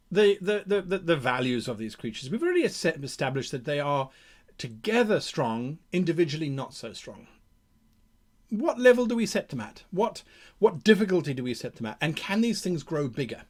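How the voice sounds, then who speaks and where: A man with a fairly high, very animated voice presents game-mastering advice. He speaks theatrically, reasoning aloud and putting questions to himself before answering them. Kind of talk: explanatory monologue on monster creation.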